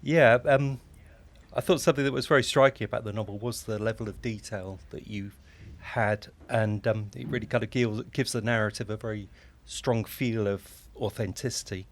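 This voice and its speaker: A man conducts an interview in a conversational tone. His voice is on the low side. He phrases things haltingly and tentatively, restarting as he goes. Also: fairly even intonation.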